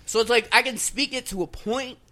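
A man's voice: extremely high pitch, a little fast, bright, forceful tone. A man chatting casually in an informal, slangy way. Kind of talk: casual chat.

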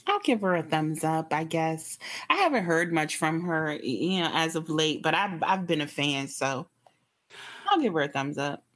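A woman's voice: deep voice, expressive, moderate pace. A woman co-hosting a casual pop culture chat, candid and a bit hesitant, with many fillers. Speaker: podcast co-host.

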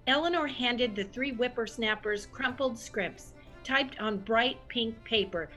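An actress playing an opinionated storyteller, narrating a scripted audio comedy. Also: female voice, on the high side, measured pace, pushed and bright, faint music behind, rough recording. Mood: wry narration. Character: opinionated woman storyteller narrating old-time radio tale.

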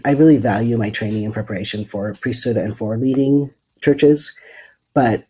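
A man's voice: mid-range voice, warm tone, breathy edge, poor audio. A man from the Netherlands, a seminary student, answering interview questions thoughtfully and hesitantly with many fillers.

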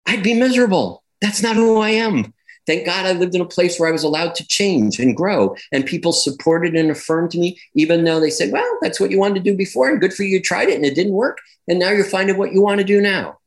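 A man speaking as a guest in an interview, explaining his views in a reflective, conversational way. He grows more animated as he builds his points. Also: high voice, a little fast. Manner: reflective, with repeated phrases and restarts. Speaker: guest, clinician and educator on gender.

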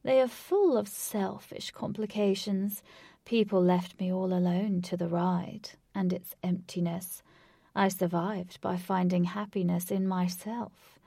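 A woman voicing a theatrical, delusional male stalker who speaks in a grand, drawn-out way. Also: medium pitch, steady tone, creaky, dark tone, dry recording, studio quality. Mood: self-satisfied.

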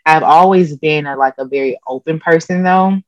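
A woman therapist with a low-register, soothing voice, answering interview questions calmly and openly. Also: normal speed, no echo. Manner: calm, open, laughs often. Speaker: therapist guest. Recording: dull lo-fi dry sound.

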